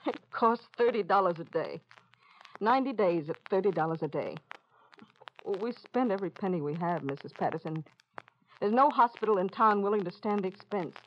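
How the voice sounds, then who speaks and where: A woman's voice: mid-range voice, animated, fairly quick, close to an everyday voice. A woman playing a worn, anxious, loving mother, hesitant and plain-spoken, in an old radio drama. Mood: weary, sorrowful. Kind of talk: 1940s-50s radio drama acting. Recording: muffled, noisy old radio recording.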